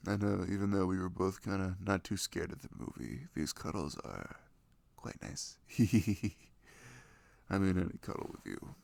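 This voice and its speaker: A young boyfriend voiced by a man talks close and tender in a cozy ASMR roleplay, his low voice moving freely up and down. There is some gravelly creak in it as he rambles, sweet and nerdy, to his partner. The sound is dry and intimate. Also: animated, measured pace, darkish tone, no echo, full character voice. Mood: affectionate, cozy. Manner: tender, rambling, giggly, gushing about games.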